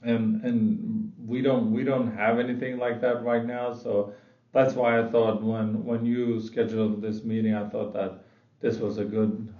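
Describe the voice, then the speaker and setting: A man's voice: lowish voice, little pitch movement, a little slow. A man who grew up in Sweden, role-playing a business client who describes his company's problems, often repeating words as he goes. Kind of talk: role-play sales meeting. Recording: dull sound in an echoey room.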